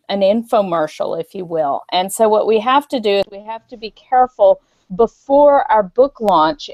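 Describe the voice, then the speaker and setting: A woman's voice: mid-range voice, no echo. Woman guest speaking on a muffled call. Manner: earnest and explanatory, with fillers. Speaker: guest author. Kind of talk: casual conversation on a podcast. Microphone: video call.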